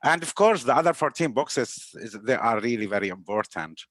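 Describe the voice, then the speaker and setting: A man with a Palestinian accent, hosting a webinar and earnestly introducing a guest in halting speech full of fillers. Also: mid-range voice, lively intonation, fairly bright tone, no echo, studio quality. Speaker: webinar host. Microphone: video call.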